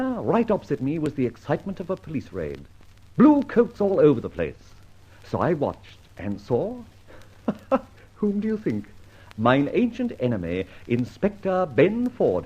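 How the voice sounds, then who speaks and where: An urbane gentleman rogue in an old radio detective play. His delivery swoops up and down, wry and theatrical, with whimsical, mock-formal phrasing. The vintage recording is dull. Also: medium pitch, slightly rough. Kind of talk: old-time radio drama acting and first-person narration.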